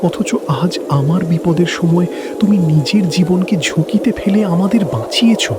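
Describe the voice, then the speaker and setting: A man's voice: high-pitched, a little slow. A man playing a repentant middle-aged village father in a fairy-tale cartoon, speaking earnestly and emotively over music. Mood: remorseful. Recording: loud music bed behind voice.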